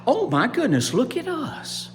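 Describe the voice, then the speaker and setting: A storyteller voicing proud, fussing alligator parents with drawn-out exclamations. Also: sits high, wildly swooping pitch, in a large hall, a little hiss or hum, pitched above the performer's own voice, exaggerated voice. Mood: amazed. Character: fussing, proud crowd of mother and father alligators.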